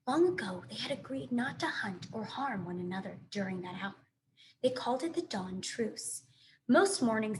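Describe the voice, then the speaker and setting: A woman teacher reading a children's story aloud with expressive, carefully phrased narration and dramatic emphasis. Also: a little high, slight background noise, poor audio.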